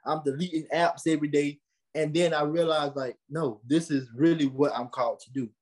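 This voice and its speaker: A young American man giving conversational interview answers, earnest and animated, repeating phrases for emphasis. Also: fairly high pitch, brisk. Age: young adult. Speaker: podcast host and creative being interviewed.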